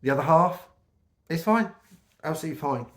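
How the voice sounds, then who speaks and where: A middle-aged British man with a croaky voice from a cold, chatting casually to camera in a rambling way with many ums. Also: on the high side, animated, a little fast. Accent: British English. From United Kingdom. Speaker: vlogger.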